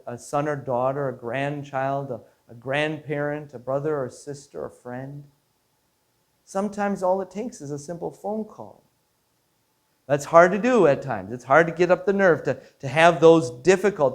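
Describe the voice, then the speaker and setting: A man, a pastor giving a devotional story in an earnest, measured way, sometimes repeating words as he goes. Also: a little high. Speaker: Lutheran pastor.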